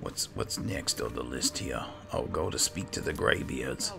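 Man, game commentary, slow-paced, lively intonation, casual, hesitant, chatty. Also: extremely low pitch, gravelly, over music. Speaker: gaming video host.

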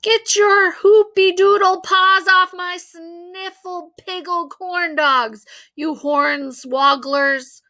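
A woman voicing an angry schoolboy with indignant, drawn-out exclamations in a storybook. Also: very high voice, steady tone, bright, forceful tone, voice pushed up, heavily acted. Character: angry schoolboy yelling mangled made-up cuss words.

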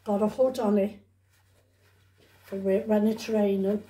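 Woman, soft-edged mellow tone, casual rambling vlog chat, repetitive, roomy indoor sound. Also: medium pitch, level tone, unhurried. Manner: chatty, repetitive, with trailing tag questions. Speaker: vlogger showing shopping haul. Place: indoors at home. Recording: roomy, echoey home recording.

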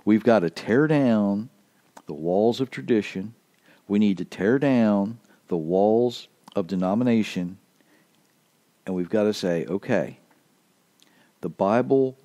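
A man's voice: lowish voice, dark tone, dry recording. A man preaching a casual podcast sermon in an earnest, emphatic way, going back over his key points, his voice a little worn. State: slightly losing his voice.

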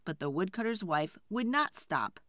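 A woman reading a children's storybook aloud in an expressive, deliberate storytelling style. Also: medium pitch, no room sound, clean studio sound.

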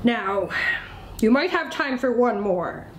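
A woman's voice: on the high side, animated, takes it slowly, reverberant, slight background noise. A woman voicing a grudging male weasel in a children's story, speaking curtly. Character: gruff, grudging male weasel waiting on his soup.

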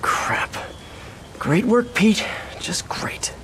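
An actor playing a young masked superhero in a video game, earnest, self-critical and given to wisecracks muttered to himself. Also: male voice, high voice, big pitch swings, very slow, whispered, heavily acted. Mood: rueful, frustrated. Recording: loud game music and effects behind.

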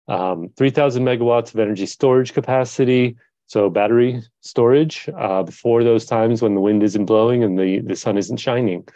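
A man presenting slides on a panel, talking in a measured way with many pauses and fillers. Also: lowish voice, dark tone, no echo, dull audio. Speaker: panelist, climate team leader.

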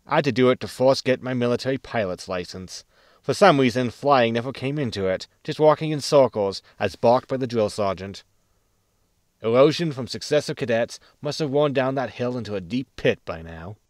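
A man playing a gruff, sarcastic spaceship captain and military pilot in a science fiction comedy audio drama. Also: mid-range voice, lively intonation, moderate pace, no room sound, studio quality, natural voice. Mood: sardonic. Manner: sardonic, grumbling.

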